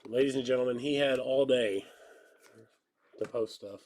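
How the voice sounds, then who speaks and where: A man in his thirties with a stuffy nose and slightly hoarse voice, chatting casually and joking while he hosts a live card break. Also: medium pitch, moderate pace, a crowd in the background. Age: 30s. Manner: rambling, joking, calls out card pulls. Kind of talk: casual live-stream chat while opening cards.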